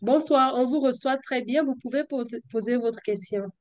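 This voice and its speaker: A woman's voice: sits high, moderate pace, a little mellow, no room sound. A woman moderating an online Q&A, polite and brief as she hands the floor to the next speaker.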